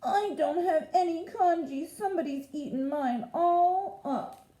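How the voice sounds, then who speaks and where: A woman's voice: extremely high pitch, a little slow, warm tone, voice pushed up. A woman voicing a small, upset child who wails complaints. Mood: wailing. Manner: wailing complaints. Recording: roomy echo.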